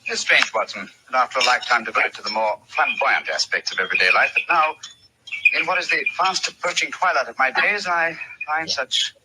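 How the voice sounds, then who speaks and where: An actor playing a lean, middle-aged retired detective in an old-time radio drama, speaking precisely and eloquently. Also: male voice, medium pitch, fairly quick, heavy background noise, lightly acted. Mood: musing, dry. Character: lean middle-aged retired detective turned bee farmer, sharp and musing. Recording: thin old radio broadcast.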